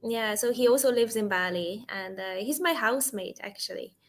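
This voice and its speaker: A chatty woman in her twenties tutors English over a video call. She giggles often and keeps asking questions. Her voice sits a bit high. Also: moderate pace. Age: 20s. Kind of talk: casual video-call conversation lesson.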